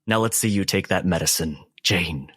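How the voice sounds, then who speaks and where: A man playing a menacing, large asylum orderly in a tabletop roleplay, quietly threatening and deliberate. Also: low-pitched, husky. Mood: quiet menace.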